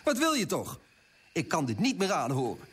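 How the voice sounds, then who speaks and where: An actor playing a pompous, self-righteous middle-aged merchant in a radio play, husky and indignant. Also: male voice, fairly high pitch, wildly swooping pitch, normal speed, a bit bright, slight background noise.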